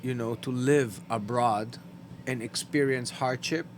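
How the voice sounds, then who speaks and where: A man's voice: medium pitch, steady tone, measured pace, noisy background. A man from the Middle East hosting a podcast, talking casually, emphatically and bluntly, swearing freely. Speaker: podcast host.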